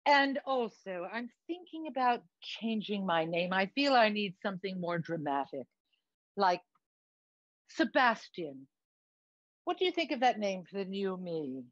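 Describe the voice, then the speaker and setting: A woman's voice: medium pitch, no room sound, dull audio. Woman doing a campy theatrical man, affected British accent. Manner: grand, campy, emphatic. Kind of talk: theatrical monologue, acted dialogue.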